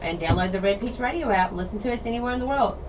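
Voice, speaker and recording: A woman co-hosting a radio outdoors show in casual chat, slightly hoarse from losing her voice. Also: medium pitch, lively intonation, rapid-fire. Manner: chatty, laughs easily, repeats details. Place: radio studio. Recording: distant mic with background noise.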